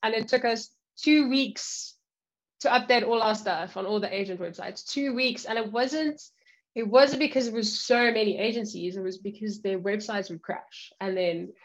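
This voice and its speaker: A woman's voice: mid-range voice, normal speed, poor audio. A woman hosting an interview, chatting sympathetically and adding her own stories. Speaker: interview host. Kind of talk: casual interview chat.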